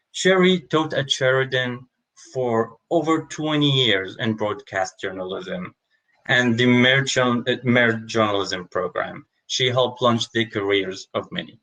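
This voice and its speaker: A man's voice: medium pitch, a bit bright, low-quality recording. A man from Syria, co-hosting, direct with a light joke, introducing a guest. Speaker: co-host. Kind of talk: scripted introduction.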